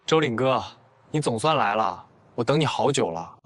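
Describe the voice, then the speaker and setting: A man playing a seemingly innocent, shrewd young university student in an animated drama. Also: mid-range voice, moderate pace, close to an everyday voice. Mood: teasing. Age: young adult. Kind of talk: audio drama acting for an animated story.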